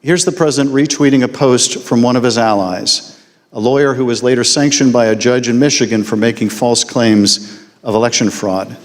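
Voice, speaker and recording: A man questioning a witness at a formal hearing in a measured, deliberate way. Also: mid-range voice. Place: large hearing room. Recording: long hall reverb.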